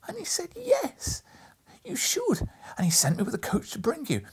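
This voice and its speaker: A man voicing a loving, excitable little girl in a storybook reading, with a breathy falsetto and an eager manner. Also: normal speed, exaggerated voice. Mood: excited, tender. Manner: eager, bubbly. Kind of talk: storybook audiobook character voice.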